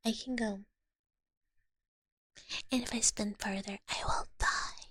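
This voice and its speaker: Slow breathy whispering woman. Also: a little high, fairly even intonation, no room sound, clean studio sound. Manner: soft whisper with frequent giggles. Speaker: streamer reading chat. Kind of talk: whispered ASMR stream chat.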